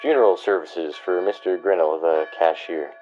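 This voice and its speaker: Man as a low-voiced, folksy western deputy sidekick. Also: steady tone, moderate pace, highs cut off, faint music behind, close to an everyday voice. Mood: concerned, reporting. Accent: American. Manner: deferential, homespun, plain-spoken. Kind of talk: western radio drama acting.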